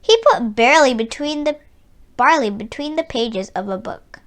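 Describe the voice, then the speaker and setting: A woman reading a children's story aloud in an expressive, deliberate storytelling way. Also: sits high. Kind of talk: read-aloud storybook narration.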